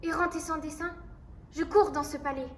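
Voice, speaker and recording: A woman's voice: high voice, fairly even intonation, moderate pace. A woman drama student reciting theatre lines in an acted stage style. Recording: echoey room, distant mic, background noise.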